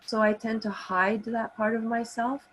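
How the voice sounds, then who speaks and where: A woman's voice: on the high side, flat delivery, moderate pace. A middle-aged woman from Canada, talking casually and personally as a participant on an online call through a laptop. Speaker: participant calling in, massage therapist. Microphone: laptop on an online call, no camera.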